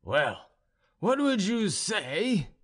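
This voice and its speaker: A man acts out a tipsy, swaggering serf from a storybook tale, pitched high and lurching widely in tone. He drags out his boasts slowly, hesitating like a drunkard, proud and defiant throughout. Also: big pitch swings, a bit bright, heavily acted. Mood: boastful, sly.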